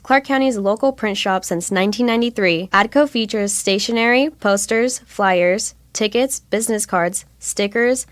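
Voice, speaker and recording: A woman's voice: mid-range voice, no room sound. A woman reading a scripted sponsorship announcement for radio.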